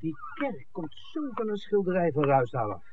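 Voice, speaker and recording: An actor playing an eager, easygoing television director, speaking in a breezy, exclamatory 1960s Dutch radio drama style. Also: male voice, sits high, wildly swooping pitch, normal speed, phone-quality sound. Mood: keen. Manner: exclamatory, breezy, chuckling between phrases. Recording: thin, noisy 1960s radio recording.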